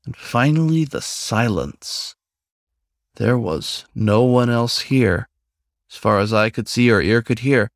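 A man's voice: medium pitch, animated, slow, no room sound, studio quality. A man narrating a scripted short story as its author. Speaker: author reading own story.

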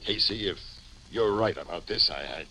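An American actor playing a skeptical, blustery police captain who stammers, in a 1950s radio drama. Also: male voice, low-pitched, expressive, normal speed, sharp tone, soft background music. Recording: noisy old 1950 radio recording.

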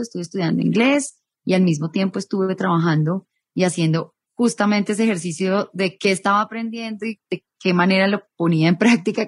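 Colombian woman in her thirties as a thoughtful interview guest, average pitch, dry recording. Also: no echo. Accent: Colombian Spanish. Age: 30s. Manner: thoughtful, reflective, rephrasing as she goes. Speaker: guest, journalist. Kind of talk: interview conversation.

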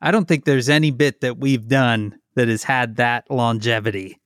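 A man's voice: mid-range voice, steady tone, a bit bright, no echo, studio quality. A man co-hosting a podcast, chatting casually and reading listener questions aloud. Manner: slow, easygoing, reads listener questions aloud. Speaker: podcast co-host. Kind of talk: casual chat.

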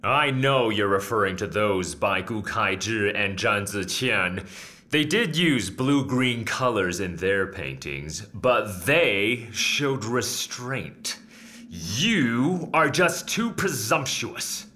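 An actor playing a stern, haughty older headmaster in a radio drama, with a pompous, scolding manner. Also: male voice, lowish voice, lively intonation, slow, bright, forceful tone, full character voice. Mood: indignant. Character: stern, haughty older male headmaster of imperial painting academy.

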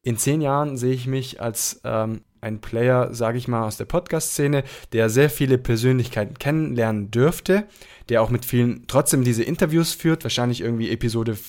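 A man's voice: mid-range voice, normal speed, darkish tone. A young man from southern Italy, the show's host, giving long, rambling answers with lots of fillers. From Apulia, Italy. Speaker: podcast host. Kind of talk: casual conversation.

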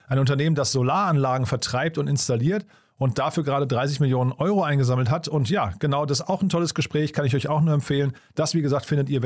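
Fast-talking male podcast host. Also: mid-range voice, a little mellow. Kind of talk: conversational podcast interview.

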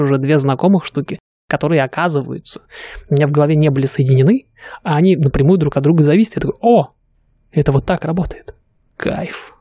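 A man's voice: on the high side, dark tone, no echo. Reflective man chatting on a podcast. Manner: reflective, enthusiastic, thinks aloud with fillers. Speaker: podcast co-host, student pilot. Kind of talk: casual podcast chat.

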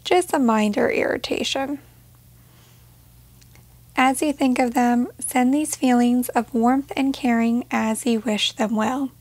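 A woman leading a guided meditation in a gentle, measured way, leaving pauses between short phrases. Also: a little high, steady tone, dry recording.

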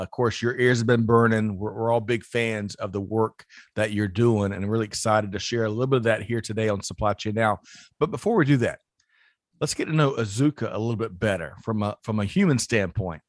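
A man's voice: fairly low pitch, fairly quick, no echo, studio quality. A man hosting a podcast interview, chatty and upbeat, often restarting his sentences. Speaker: podcast host. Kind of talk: casual interview chat.

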